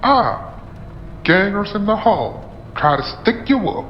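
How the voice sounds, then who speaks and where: A man plays an elderly rustic fellow in a noir audio drama, high in pitch and very animated in melody. He is calm, folksy and wary, heard in a roomy space. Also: exaggerated voice. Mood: suspicious. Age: older. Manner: folksy, drawn-out rustic phrasing. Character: calm, wary old man guarding his hallway. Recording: roomy, dull sound with faint noise.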